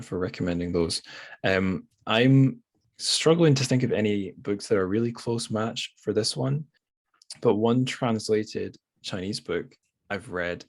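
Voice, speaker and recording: A man with a low voice and a dry, close sound hosts a podcast interview. He talks informally and loosely, working out his thoughts as he goes. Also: no room sound. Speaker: podcast host. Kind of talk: casual literary interview.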